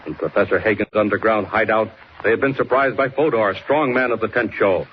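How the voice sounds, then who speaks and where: A man's voice: on the low side, brisk. A man announcing and narrating in a dramatic 1940s radio serial style. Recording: muffled, faintly noisy old radio recording.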